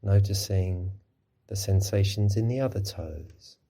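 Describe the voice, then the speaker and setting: A man with a low voice and a soft husky edge leads a guided meditation. His tone is dark and relaxed, and he gives each instruction gently and without hurry, as a mindfulness teacher would. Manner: gentle, unhurried, soothing instruction. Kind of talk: guided body scan meditation.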